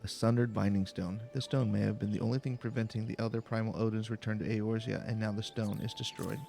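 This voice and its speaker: A man reading video game dialogue aloud in a calm, slow, deliberate way for a let's play. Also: lowish voice, vocal fry, slight background noise. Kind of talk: calm, slow-paced read-aloud narration. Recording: faint background music. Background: faint game music.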